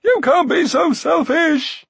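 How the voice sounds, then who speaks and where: A man's voice: very high voice, slow pace, creaky, fairly bright tone, no echo, voice pushed up, heavily acted. A man voicing a cowardly, self-pitying little giant in a fantasy audiobook, pleading and wheedling plaintively. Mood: frantic wheedling.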